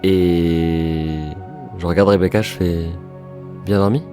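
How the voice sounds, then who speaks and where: A man's voice: deep voice, fairly even intonation, takes it slowly, mellow, soft background music. A man playing in a tabletop game, easygoing and rambling as he says what his character does. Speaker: player. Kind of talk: casual tabletop roleplay.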